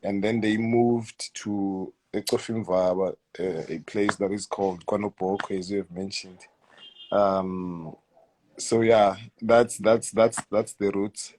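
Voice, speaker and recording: A man in his thirties with a South African accent, answering interview questions in a hesitant, halting way, often repeating words and letting sentences trail off quietly. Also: lowish voice, steady tone, low-quality recording. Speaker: guest footballer. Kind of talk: casual interview.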